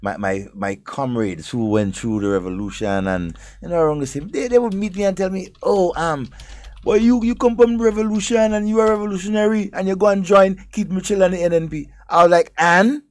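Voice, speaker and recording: A man co-hosting a studio political talk show, forceful and blunt, stumbling over words when he starts. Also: high-pitched, a little fast, no echo. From Grenada. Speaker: co-host.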